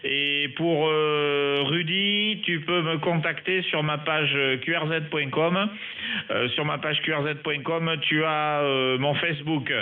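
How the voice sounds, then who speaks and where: Phone-like male ham radio operator, slightly high. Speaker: amateur radio operator, recording for his online channel. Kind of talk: casual ham radio chat. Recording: narrow, phone-like radio sound.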